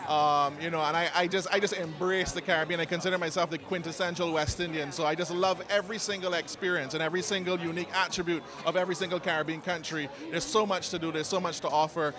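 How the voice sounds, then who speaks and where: A man's voice: fairly high pitch, steady tone, quick pace, hardly any pauses. Barbadian-accented man amid a crowd. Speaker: interviewee, media conference producer. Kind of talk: casual interview at a launch event. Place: outdoors at a busy event. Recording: crowd chatter behind the voice.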